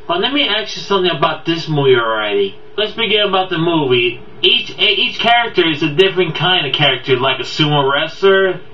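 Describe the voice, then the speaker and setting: A man's voice: a little high, lively intonation, fairly quick, hardly any pauses, a little hiss or hum. A man giving a casual, unscripted video review, rambling and stumbling as he repeats his words. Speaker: amateur video game movie reviewer. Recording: boxy echoey room, distant dull mic, background audio.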